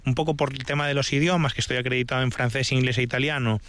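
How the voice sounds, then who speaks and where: A man's voice: medium pitch, steady tone, very fast, fairly bright tone, clean tone, dry recording. A man from Galicia, a tour guide, explaining a walking route with enthusiasm on an outdoor trail. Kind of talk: casual outdoor conversation.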